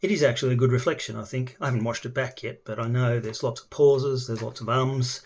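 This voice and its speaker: A middle-aged man with an Australian accent chats loosely about himself, stopping often to hunt for words and filling the gaps with ums. His voice sits at a middling pitch and carries a gravelly rasp at the ends of phrases. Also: fairly even intonation, fairly quick, darkish tone. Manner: hesitant, many ums and restarts. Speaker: language learner. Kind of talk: casual self-introduction video.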